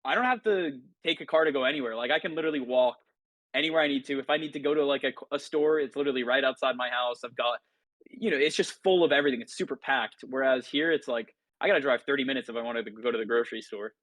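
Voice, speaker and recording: A man's voice: mid-range voice, fast, a bit bright, no echo, rough recording. A man who grew up in Italy, a podcast guest chatting in a loose, enthusiastic, rambling way. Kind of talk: casual podcast chat.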